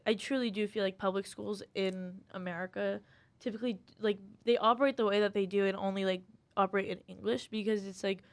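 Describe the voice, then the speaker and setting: A young woman co-hosting a casual talk show, speaking in an animated way and thinking aloud with many restarts. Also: mid-range voice, quick pace. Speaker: co-host. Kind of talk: casual conversation.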